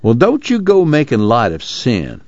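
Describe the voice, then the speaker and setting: A man's voice: medium pitch, wildly swooping pitch, a little slow, a little mellow, no room sound, muffled recording, voice pushed up. A man voicing a gloomy, guilt-ridden uncle who speaks mournfully with a rural American accent. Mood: guilty.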